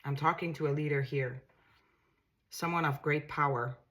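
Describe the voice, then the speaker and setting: A woman's voice: low voice, steady tone, normal speed, fairly bright tone. A woman with a Danish accent, a tarot reader giving a casual reading to camera, musing aloud and often repeating and restarting her words in a reassuring way.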